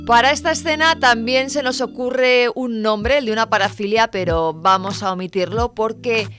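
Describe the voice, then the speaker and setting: Higher-pitched woman narrator over music. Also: normal speed, a bit bright. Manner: playful, wry rhetorical questioning. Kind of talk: light scripted list-video narration. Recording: music behind the voice.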